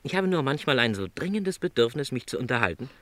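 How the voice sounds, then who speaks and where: A man playing a lonely, middle-aged travelling salesman in a radio play, polite, gentle and resigned, reflecting hesitantly. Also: medium pitch, sing-song, fast, no room sound. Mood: wistful, reflective. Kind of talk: German radio play dialogue.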